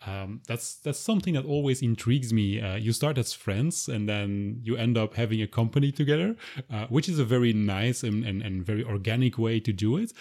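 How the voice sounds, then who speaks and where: A man hosts an interview, asking musing, thoughtful questions and working them out aloud. His pitch is average for a man, and his talk stays conversational. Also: fairly quick, darkish tone. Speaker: interviewer host.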